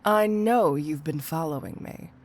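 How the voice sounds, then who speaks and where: A woman's voice: low voice, measured pace. A woman playing a flirty, dangerous cat burglar supervillain in an audio roleplay, teasing and sardonic with amused asides. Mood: suspicious, challenging. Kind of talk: scripted audio roleplay acting addressed to the listener.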